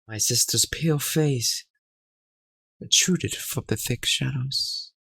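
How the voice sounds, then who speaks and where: A man's voice: medium pitch, extremely slow, creaky, bright, forceful tone, husky, no echo. Young man reading a horror story aloud. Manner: reads aloud with suspenseful emphasis. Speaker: gaming video creator. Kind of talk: dramatic reading of a horror visual novel.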